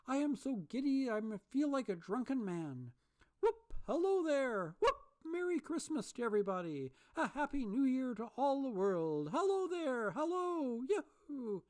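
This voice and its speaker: A reader voicing a remorseful old miser who turns giddy, pleading and exclaiming at a lifted pitch. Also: big pitch swings, normal speed, natural voice. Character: old miser, repentant and overjoyed.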